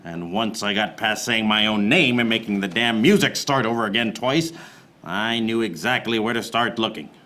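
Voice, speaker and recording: An actor playing a wisecracking, hard-boiled private eye, narrating a noir parody radio play in a deadpan, wry way. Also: male voice, lowish voice, normal speed, soft background music. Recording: noticeable background noise.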